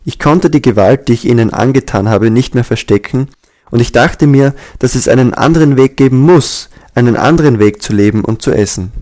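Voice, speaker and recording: Male audiobook reader, mid-pitched voice, calm, measured, earnest read. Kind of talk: scripted audiobook reading.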